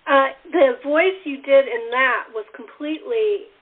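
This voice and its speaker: A woman's voice: high-pitched, unhurried, husky. A woman hosting a casual cast chat in an effusive, encouraging manner. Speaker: host and radio playwright in a cast interview. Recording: tinny phone-like line, faint noise and clicks.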